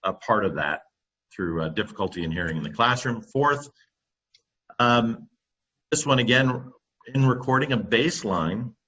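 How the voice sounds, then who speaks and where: A man gives a presentation into his computer microphone, his voice low-pitched yet bright, with a breathy, husky edge. He pauses often with fillers and restarts his sentences. The sound is dull and lo-fi. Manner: halting, many fillers and restarts. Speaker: company presenter on classroom audio. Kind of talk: webinar presentation.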